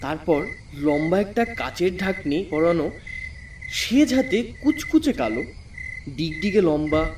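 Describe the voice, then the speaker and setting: A man's voice: on the high side, animated, measured pace, pauses often, far from the mic, background noise. A man narrating a ghost story expressively in the first person as a runaway schoolboy. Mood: hushed suspense.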